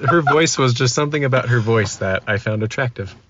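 A man as a podcast guest in casual chat, giving brief, low-key answers. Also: a little fast, fairly bright tone, dull audio.

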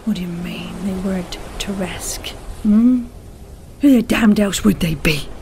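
An imperious seafaring baroness, played by a woman in a fantasy audio drama. She is impatient and demanding, with clipped words at a medium pitch. A faint noise sits in the recording. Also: a little slow, vocal fry. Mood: incredulous, scornful. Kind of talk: audio drama character acting.

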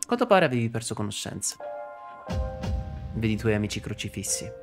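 A man's voice: fairly low pitch, sing-song, a little fast. Young male streamer over prominent game music. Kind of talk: dramatic reading of on-screen game text. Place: indoor streaming setup. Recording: loud game music behind voice. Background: game music and dialogue.

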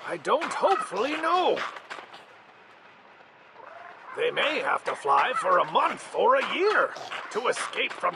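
Man as small scolding forest creature, storybook, indignant, emphatic, high voice, noisy recording. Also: full character voice. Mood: pleading. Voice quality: coughing, croaky.

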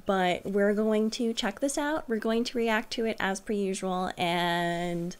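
A woman's voice: medium pitch, unhurried, clean tone. Chatty young woman streamer. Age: young adult. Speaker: streamer, reaction video host. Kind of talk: casual reaction commentary to viewers. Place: small furnished room at home.

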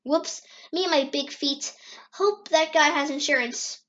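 A woman's voice: sits high, a little slow, a bit bright, highs cut off, slight background noise. A woman voicing an eager, playful little boy fox in an amateur comic dub.

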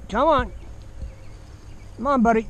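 Guy with a kinda high voice fishing at a pond, easygoing, cheers on his catch, faint outdoor noise. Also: measured pace. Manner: easygoing, excited cheering a fish on. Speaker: fishing channel host. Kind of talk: casual chat while fishing. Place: grassy pond bank outdoors. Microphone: chest or head-mounted action camera.

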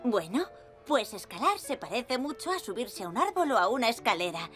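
An actress playing an upbeat, encouraging young climbing instructor in a cartoon, with a Castilian Spanish accent and a cheerful, reassuring way with small children. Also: female voice, fairly high pitch, very animated, brisk, fairly bright tone. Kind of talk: animated dub dialogue. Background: music.

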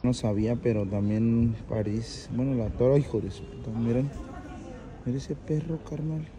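A man's voice: medium pitch, fairly even intonation, moderate pace. A man with a Mexican accent vlogging casually on city streets, joking and slangy with his friends. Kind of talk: casual travel vlog. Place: outdoors on city streets, often riding a bike. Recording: outdoor street noise. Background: street traffic and city noise.

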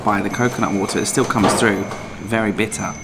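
A man, chatting casually to a handheld camera as he travels, talking to animals in a high playful voice now and then. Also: steady tone, fairly quick, heavy background noise. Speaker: travel vlogger. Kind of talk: casual travel vlog chat. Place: outdoors at a petting farm and a dam. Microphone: handheld camera at arm's length.

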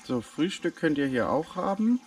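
A man's voice: on the high side, very animated, moderate pace, darkish tone, far from the mic. A man casually narrating a road trip vlog, chatting directly to his viewers. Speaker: travel vlogger.

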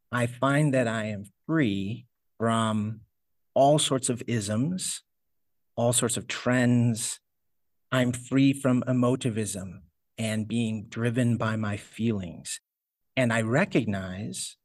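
A man's voice: mid-range voice, no room sound. Reflective man, dry close mic. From United States. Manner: thoughtful, searching, many restarts. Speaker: guest, homesteader and teacher. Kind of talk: reflective interview answers.

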